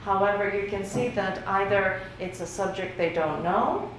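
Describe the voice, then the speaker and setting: A woman's voice: medium pitch, normal speed. A woman whose first language is Greek, giving a workshop lecture on survey results in a casual, conversational way with many fillers. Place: university lecture room. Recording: very echoey room with faint noise.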